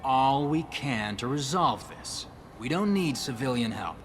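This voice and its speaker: Officious mid-pitched police officer. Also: male voice, expressive, unhurried, fairly bright tone, a little hiss or hum. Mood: official. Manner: procedural, placating. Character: officious male space-station police officer. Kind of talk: video game dialogue.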